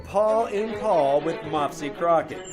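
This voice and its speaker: A man's voice: fairly high pitch, slow, music in the background. A man playing a wry, wisecracking private detective, dryly narrating and talking in an old-time radio comedy. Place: live small-town theater stage.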